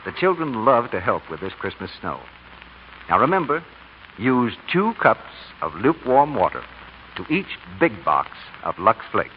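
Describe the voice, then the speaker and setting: A man's voice: medium pitch, very animated, moderate pace. A man reading a scripted 1940s radio commercial as the announcer. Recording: muffled old radio, faint noise.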